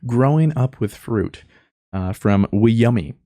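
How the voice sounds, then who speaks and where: A man's voice: mid-range voice, animated, measured pace, warm tone, dry recording, clean studio sound. A young man co-hosting a casual podcast, joking and laughing as he reads listener reviews aloud. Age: young adult. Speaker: podcast co-host.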